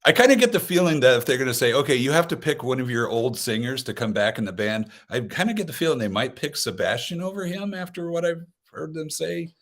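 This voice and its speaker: A man's voice: mid-range voice, animated, fast talker, few pauses. A man chatting casually as a guest, rambling, joking and often cutting himself off. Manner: rambling, self-interrupting, joking. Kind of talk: casual chat about concerts.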